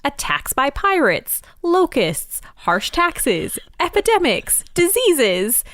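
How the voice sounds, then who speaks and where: A woman's voice: high-pitched, huge pitch swings, takes it slowly, fairly bright tone, no echo, studio quality. A woman co-hosting a casual podcast, upbeat and explaining things at length with lots of "like". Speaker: podcast co-host.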